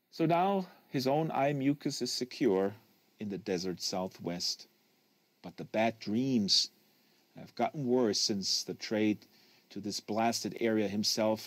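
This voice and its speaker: A man's voice: medium pitch, a little mellow, no room sound. A man reading a literary novel aloud in a live reading, leaning on phrases for emphasis.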